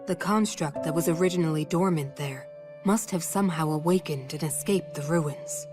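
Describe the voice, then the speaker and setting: Thoughtful, grave royal woman played by an actress, deep for a female, formal fantasy game delivery. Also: normal speed, natural voice. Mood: grave, pensive. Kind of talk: video game cutscene acting. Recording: music behind the voice.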